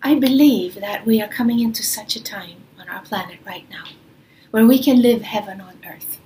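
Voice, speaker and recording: A woman whose native language is Swedish, giving a spiritual talk in a soft manner that often drops near a whisper, speaking away from her usual studio. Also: a little high, normal speed, slight background noise, rough recording. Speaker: spiritual teacher.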